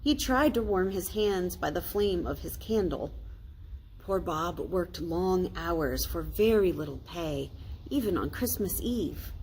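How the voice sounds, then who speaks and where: An American woman, a pastor, reading a children's story aloud in a deliberate, explanatory way. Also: on the low side, animated, a little mellow, rough recording. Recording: faint noise, ambient sound.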